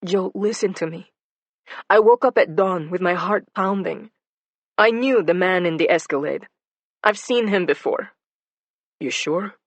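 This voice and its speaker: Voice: mid-range voice, a little slow, long pauses, no room sound, highs cut off, lightly acted. A narrator voicing a fearful but determined Bosnian woman witness with a heavy Bosnian accent. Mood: urgent.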